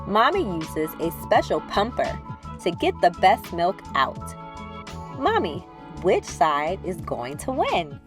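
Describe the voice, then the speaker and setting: Casting: woman as eager young big sister for a children's storybook, expressive, childlike phrasing, music underneath. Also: mid-range voice. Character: eager little girl, a loving big sister narrating. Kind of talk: children's storybook read-aloud.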